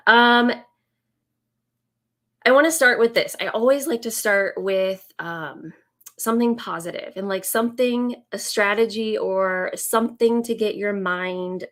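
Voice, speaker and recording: A woman in her thirties, raspy from a summer cold, talking slowly and warmly to viewers on a live stream as a business coach, with many ums. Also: mid-range voice. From Indiana, US. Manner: slow, friendly, many ums.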